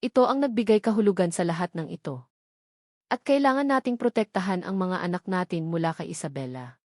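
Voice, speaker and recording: A woman's voice: fairly low pitch, expressive, a little fast, a little mellow, no room sound, lightly acted. Woman storyteller as firm earnest billionaire dad in a love story, protective, very dry. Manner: firm, insistent.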